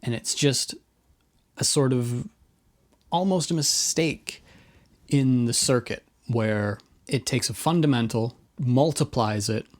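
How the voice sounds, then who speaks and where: A man's voice: mid-range voice, takes it slowly. A middle-aged man hosting an informal explainer as a guitarist, talking casually with offhand asides. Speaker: guitarist video host. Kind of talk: informal explainer narration to camera.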